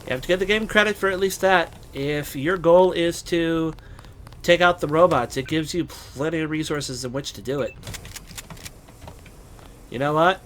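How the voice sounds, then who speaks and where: A man from the United States with a stuffy, congested voice, casually talking through a video game as host and rambling with many pauses and ums. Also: fairly high pitch, fairly bright tone, slight background noise. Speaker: let's play host. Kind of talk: casual gameplay commentary.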